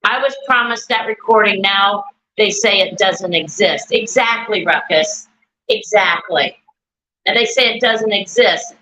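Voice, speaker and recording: A woman speaking loudly and at length on a live stream from an echoey room, repeating her points as she talks. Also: mid-range voice, unhurried, slight background noise. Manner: loud, talkative, repeats herself. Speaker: guest, bereaved mother.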